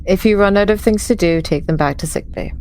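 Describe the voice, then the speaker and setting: A woman's voice: medium pitch, a little fast, a little mellow, a little hiss or hum. A woman improvising in-character lines in a tabletop game, speaking firmly and matter-of-factly.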